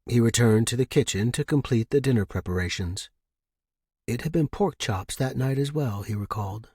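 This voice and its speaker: A man's voice: medium pitch, expressive, moderate pace, darkish tone, husky, no room sound, clean studio sound. A man reading scripted fiction as an audiobook narrator.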